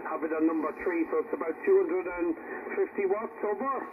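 A man's voice: high voice, fairly quick. A man with an Irish accent chatting casually as an amateur operator, heard through a single-sideband radio transmission. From Ireland. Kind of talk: casual two-way radio chat. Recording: muffled, very noisy shortwave radio reception. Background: loud static and interference.

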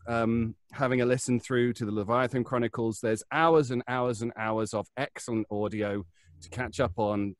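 A man's voice: medium pitch, dry recording. A man speaking casually as a podcast panelist, promoting audio drama on a live call. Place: indoors, on a video call.

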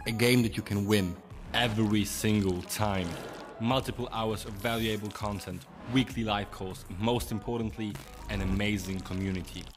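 Low-voiced man in a promo over music. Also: steady tone, moderate pace. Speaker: ad narrator. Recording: voice-over under loud music.

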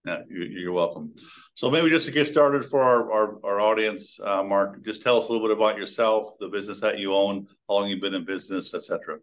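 A middle-aged man hosting an interview over a video call, polite and affirming, with halting restarts. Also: lowish voice. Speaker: interview host. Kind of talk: interview questions. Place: room with brick wall. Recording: dull lo-fi video call audio.

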